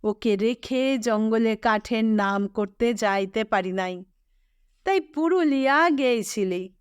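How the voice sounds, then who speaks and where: A woman storyteller plays a poor, weary woodcutter from a storybook, a humble middle-aged father. He talks slowly in plain rural Manbhum Bengali. The voice is smooth and clear, pitched as a woman's, in a dry recording. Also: no room sound. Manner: plain, humble, rustic dialect speech.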